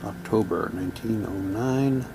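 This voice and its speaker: Slow-talking man reading aloud over background noise. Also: fairly low pitch, gravelly. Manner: theatrical read-aloud broken by muttered asides. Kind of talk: casual commentary while reading printed props aloud.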